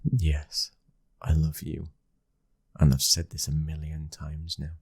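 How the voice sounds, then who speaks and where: Casting: male actor as devoted young British mafia son, very low husky voice, tender and protective, ASMR boyfriend roleplay. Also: completely flat delivery, takes it slowly, dry recording, exaggerated voice. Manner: soft, breathy, often near-whispered, tender.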